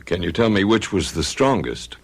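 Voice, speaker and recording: A calm, coaxing psychiatrist. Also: male voice, sits low, normal speed, slightly rough, exaggerated voice. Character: calm probing psychiatrist, man.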